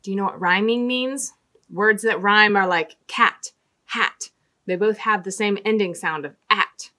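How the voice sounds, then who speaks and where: A middle-aged woman telling stories and talking to young children as a storytime host, with an expressive, deliberate sing-song delivery and dramatic emphasis. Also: mid-range voice. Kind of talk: read-aloud storytelling and talk to young children. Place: small indoor room with fabric backdrop.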